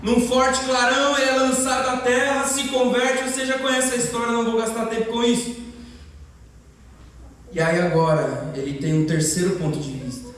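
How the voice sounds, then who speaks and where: A man's voice: extremely high pitch, little pitch movement, moderate pace, a bit bright. A man from the interior of São Paulo state with a Brazilian accent, preaching an expository sermon and building to emphatic proclamations. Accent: Brazilian Portuguese, São Paulo interior. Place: church hall. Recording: far-off mic in an echoey church hall with faint noise.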